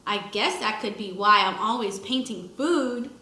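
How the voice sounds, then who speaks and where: A woman's voice: mid-range voice, a little slow, bright, forceful tone, reverberant. A woman teacher reading a children's book aloud expressively to young pupils, acting out the lines and adding short explanations. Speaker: first grade teacher.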